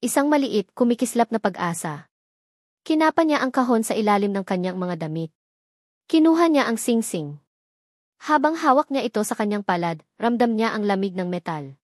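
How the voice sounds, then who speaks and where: A woman narrating a scripted drama story in a slow, measured storytelling manner. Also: on the high side, lively intonation, no room sound, studio quality.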